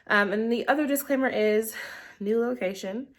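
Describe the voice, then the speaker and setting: A woman's voice: a little high, moderate pace. Congested, nasal young woman chatting casually. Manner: chatty, rambling, many ums. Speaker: tea review vlogger. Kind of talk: casual review vlog. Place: living room at home. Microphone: camera in portrait mode.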